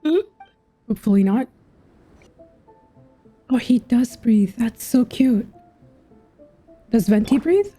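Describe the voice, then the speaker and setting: A woman with a fairly high, mellow voice gives casual game commentary. She muses aloud and questions things like a streamer, with soft music faintly behind her. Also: lively intonation, slow pace, pauses often, slightly rough.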